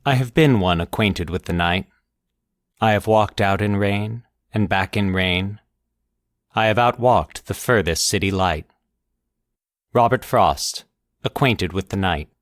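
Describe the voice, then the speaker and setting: Male podcast narrator, lower register, deliberate literary storytelling, very dry close-mic sound. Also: no echo.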